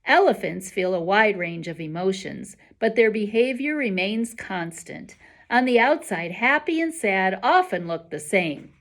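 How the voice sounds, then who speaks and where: A woman voicing a quiet, observant, bookish boy with a measured, matter-of-fact manner in a storybook. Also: medium pitch, lively intonation. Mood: earnest, measured.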